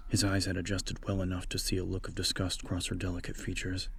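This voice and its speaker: A man's voice: low voice, monotone, a little fast, creaky, a little hiss or hum. A man reading scripted horror fiction as a dramatic audiobook narrator.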